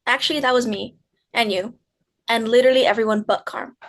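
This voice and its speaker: Woman acting a higher-voiced young kitchen woman. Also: fairly even intonation, brisk. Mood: exasperated. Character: tired, fed-up young female chef and gentle sister. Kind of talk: script table read.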